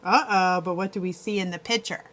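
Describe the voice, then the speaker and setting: A woman reading a picture book aloud as a schoolteacher, pausing to explain and check that children follow. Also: fairly low pitch, steady tone, a bit bright. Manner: explanatory, checks understanding with tag questions. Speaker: elementary school teacher. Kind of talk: picture-book read-aloud with teaching asides.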